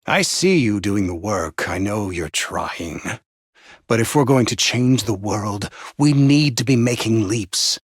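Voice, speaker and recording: An actor playing a stern, contemptuous male overseer in a video game, speaking in a measured, theatrical, condescending way. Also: on the low side, expressive, breathy edge, no room sound, clean studio sound, heavily acted. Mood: weary, pushing.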